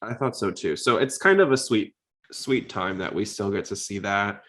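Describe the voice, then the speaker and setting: A man with a fairly low-pitched voice hosts a casual podcast. He talks in an informal, conversational style, as in a relaxed discussion between fans. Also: normal speed. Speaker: podcast host. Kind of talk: casual podcast chat about anime.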